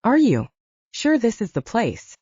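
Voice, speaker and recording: A woman reads as a wary, dutiful male wizard in an audiobook. He sounds matter-of-fact, with lively intonation and a soft, mellow tone, in dry, slightly muffled sound. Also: on the high side, a little slow, breathy edge, dry recording, pitched above the performer's own voice, heavily acted.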